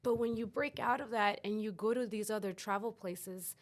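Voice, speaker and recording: A woman's voice: medium pitch, moderate pace. Chilean-born woman, middle-aged, podcast guest; open, thoughtful, casual delivery. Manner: reflective, open, with frequent restarts. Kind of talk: casual interview talk.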